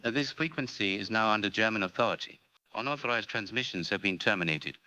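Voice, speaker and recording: A man's voice: on the low side, fast talker, sharp tone, no room sound. A man playing a cold, authoritarian occupation official making a clipped, commanding wartime radio announcement.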